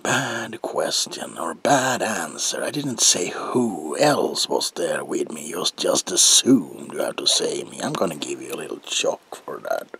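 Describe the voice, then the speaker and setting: A man's voice: rumbling low, expressive, a little slow, hardly any pauses, a bit bright, a little hiss or hum, full character voice. A man playing a gleefully deranged comic-book villain in a whispered ASMR roleplay, with a theatrical drawl and playful menace. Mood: sly, teasing. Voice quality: breathy gravelly whisper.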